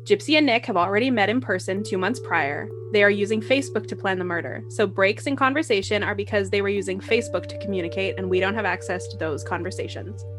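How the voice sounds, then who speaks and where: A woman's voice: medium pitch, quick pace, few pauses, over music. A woman hosting a podcast, narrating with wry comic asides.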